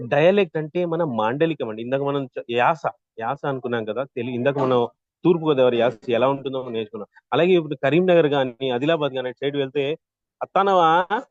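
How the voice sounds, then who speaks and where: A man teaching an interactive online class as a trainer, explaining with examples and often checking in with a quick "right?". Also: medium pitch, a little mellow, no echo, muffled recording. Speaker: voice modulation trainer.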